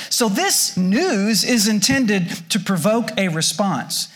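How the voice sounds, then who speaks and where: A man with a high voice preaches a sermon to his congregation. He explains scripture thoughtfully, often restarting and repeating words as he goes. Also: fairly bright tone. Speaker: pastor.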